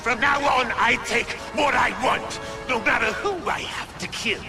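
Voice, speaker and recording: A man voicing a bitter, vengeful young goblin supervillain in a video game, snarling with contempt in a gravelly voice. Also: very high-pitched, fairly even intonation, normal speed, music in the background. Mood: menacing, contemptuous.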